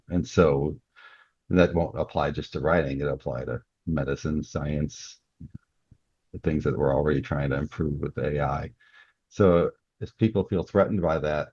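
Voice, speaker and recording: A man's voice: very deep voice, darkish tone, husky, no echo. A man speaking as an interview guest, explaining his thoughts reflectively in a casual, conversational way, on a slightly dull recording. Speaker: guest, author and cybersecurity professional.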